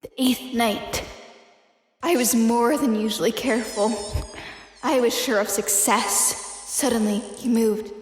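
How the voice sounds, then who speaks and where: Echoey, high-voiced unhinged killer acted by a woman. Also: fairly even intonation, a little slow, slight background noise, close to an everyday voice. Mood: tense, frenzied. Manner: intense, dramatic, emphatic. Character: unhinged killer insisting on their own sanity. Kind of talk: gothic horror audio play monologue. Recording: echoey room with long reverb.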